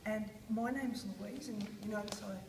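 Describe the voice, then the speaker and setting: A woman's voice: mid-range voice, steady tone, normal speed, echoey room, background noise. Australian woman teacher prompting kids. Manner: questioning, prompting, encouraging. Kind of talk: guided reading lesson with children. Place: classroom.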